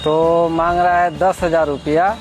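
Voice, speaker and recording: Bihari man, high-pitched, plaintive complainant giving his account, prominent music underneath. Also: little pitch movement, unhurried. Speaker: complainant, patient's relative. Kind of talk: pleading phone talk and interview account. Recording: background music over recorded speech.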